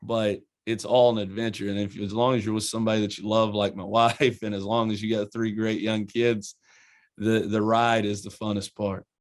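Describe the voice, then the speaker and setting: Low, level-toned Eastern Kentucky man in his forties, college head coach, folksy and earnest. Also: flat delivery, moderate pace. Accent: Eastern Kentucky country. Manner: folksy, earnest, repeats words as he restarts. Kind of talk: casual interview answers.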